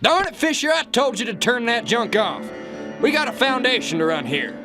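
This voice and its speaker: A man's voice: extremely high pitch, sing-song, normal speed, heavily acted. A man playing a blustering, folksy boss in a satirical radio drama, talking emphatically. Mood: irritated. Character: blustering, folksy male boss ruling a foundation. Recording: music playing under the voice.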